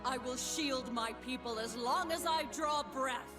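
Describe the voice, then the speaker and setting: An actress playing a solemn, devout warrior woman of sun and moon in a video game, speaking gravely and formally. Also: high-pitched, a little slow. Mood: resolute. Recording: music behind game lines.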